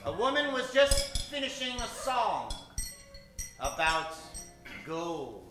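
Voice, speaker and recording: A man's voice: sits high, very animated, slow pace, echoey room, over music, exaggerated voice. A man playing the storyteller narrator of an old-time radio adventure serial.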